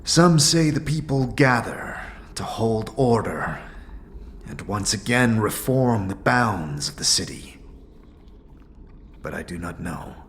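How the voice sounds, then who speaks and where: Man acting a slow, solemn warrior monk. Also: on the low side, sing-song, a little hiss or hum, full character voice. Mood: sorrowful, grave. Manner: solemn, measured, archaic formal phrasing. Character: grave, stoic otherworldly warrior monk, male. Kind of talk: fantasy audio drama acting.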